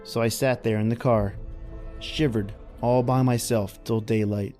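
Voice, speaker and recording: An actor playing a middle-aged former oil-rig worker, telling an old-time radio horror tale in folksy, slangy, hesitant speech. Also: male voice, medium pitch, fairly even intonation, measured pace, a little mellow, soft background music, natural voice. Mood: haunted storytelling.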